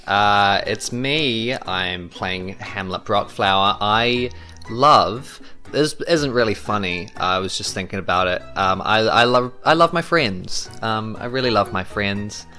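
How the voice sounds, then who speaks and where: A man chatting casually and haltingly on a game podcast. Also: fairly low pitch, pushed and bright, faint music behind. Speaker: podcast player. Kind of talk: casual chat.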